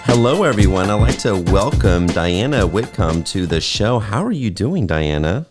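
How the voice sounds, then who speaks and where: A man hosting a business podcast, asking questions in a friendly, measured way with frequent uhs. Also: on the low side, very animated, loud music behind the voice. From California. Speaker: podcast host. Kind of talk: interview hosting.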